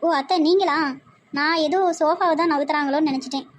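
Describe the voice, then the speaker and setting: A woman's voice: sits high, steady tone, quick pace, a little hiss or hum, lightly acted. A woman voicing a naive young newlywed with a plaintive manner and a southern Tamil Nadu accent in a comedy skit. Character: whiny, naive young newlywed daughter-in-law.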